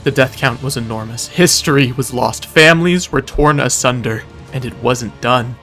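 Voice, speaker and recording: A man's voice: mid-range voice, normal speed, fairly bright tone, faint music behind. A man narrating a scripted recap in a casual, wry way that slips into dramatic storytelling. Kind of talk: scripted narration, video recap of a roleplay event.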